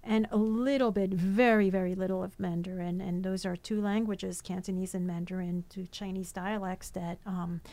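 A woman who grew up in France, talking in a relaxed interview about food and language. She is chatty and loose, full of fillers and restarts, and her pitch sits in an ordinary middle range. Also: normal speed, few pauses, no echo. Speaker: cookbook author guest. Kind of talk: casual interview chat.

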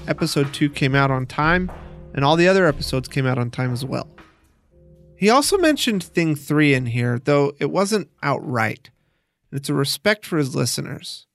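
A man's voice: fairly high pitch, gravelly, no echo, clean studio sound. A man hosting a podcast, reading scripted documentary narration in an instructional way with emphatic signposting. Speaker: podcast host.

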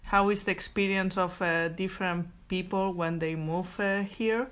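A woman co-hosting a podcast, speaking hesitantly with many pauses on "uh". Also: on the low side, fairly even intonation, a bit bright. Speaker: podcast co-host.

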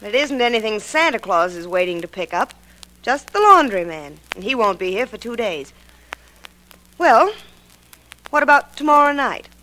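An actress playing a brassy stage star in an old radio drama, slangy and direct. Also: female voice, a little high, very animated, normal speed, pauses often, bright, forceful tone, low-quality recording. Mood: brisk, wisecracking. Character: brassy, wisecracking female Broadway stage star. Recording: old radio recording with faint noise.

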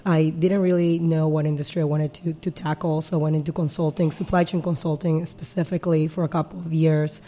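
Low-voiced woman, earnest explainer. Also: level tone, a little fast, few pauses, dark tone. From Peru. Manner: earnest, explains at length with many fillers. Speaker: guest, company founder. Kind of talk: podcast interview.

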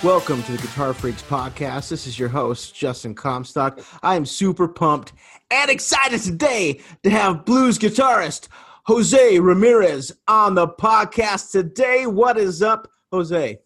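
A male podcast host with a voice a little higher than average. He talks informally and enthusiastically, in the loose conversational style of a music interview show. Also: expressive, measured pace, fairly bright tone.

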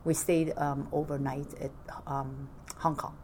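A woman, speaking haltingly and softly as an interviewee recalling her family's history. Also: deep voice, little pitch movement, slight background noise. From Vietnam. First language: Cantonese. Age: 40s. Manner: halting, soft-spoken, frequent laughs.

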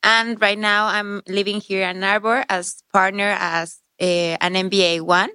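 A woman's voice: mid-range voice, level tone, normal speed, sharp tone, clean tone, no echo, studio quality. A young woman from Mexico City, a podcast guest speaking in a friendly, enthusiastic way. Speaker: guest, MBA student. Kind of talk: casual podcast chat.

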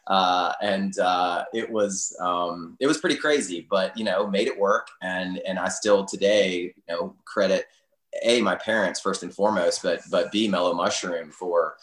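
Deep-voiced middle-aged man in an echoey room. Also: a little slow, fairly bright tone. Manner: rambling, peppered with "you know". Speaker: restaurateur podcast guest. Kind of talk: casual storytelling in an interview. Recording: roomy, echoing sound.

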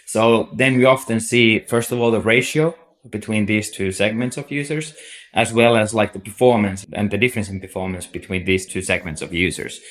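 A man's voice: lowish voice, a bit bright. A man from Finland with a Finnish accent, a guest on a podcast interview, explaining at length with many "like" and "so" fillers. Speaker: agency CEO, guest.

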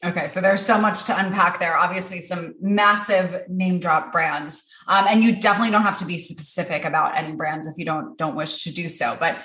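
A woman's voice: lowish voice, fairly even intonation, fairly quick, few pauses, sharp tone, roomy sound. A woman hosting a podcast, upbeat and polished as she reads scripted intros and asks interview questions. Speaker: podcast host.

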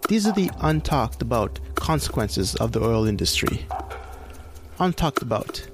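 A man's voice: mid-range voice, big pitch swings, measured pace, faint music behind. A man reading scripted narration as the host of a podcast.

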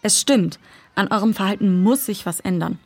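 Frau als belegt klingende, liebevolle Pflegemutter. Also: female voice, mid-range voice, normal speed, close to an everyday voice. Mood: firm, reassuring. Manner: calm, reassuring, instructive. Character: firm but loving foster mother. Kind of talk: children's audio drama acting.

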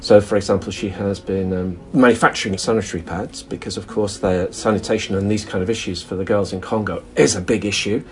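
A man's voice: on the low side, fairly even intonation, fairly quick, few pauses, a little mellow. A man explaining in an interview, with occasional "um" fillers.

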